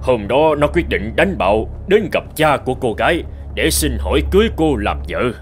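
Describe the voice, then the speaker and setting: A man playing a stern, resolute middle-aged president in a cartoon, speaking with deliberate authority. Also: mid-range voice, sing-song, soft background music, close to an everyday voice. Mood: thoughtful. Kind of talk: cartoon drama acting, storytelling.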